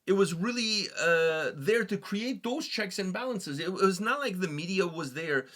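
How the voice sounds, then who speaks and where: A man's voice: high-pitched, expressive, moderate pace, a bit bright. A middle-aged man who grew up in Portugal, co-hosting a music reaction show in casual chat, talking at length with frequent restarts. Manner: talkative, explaining at length with restarts.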